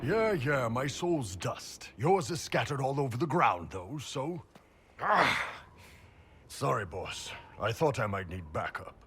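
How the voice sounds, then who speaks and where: An actor playing a big, gruff horned mercenary warrior, blunt and wry, in a video game cutscene. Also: male voice, medium pitch, very animated, slow, fairly bright tone, exaggerated voice. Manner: blunt, wry, swearing soldier.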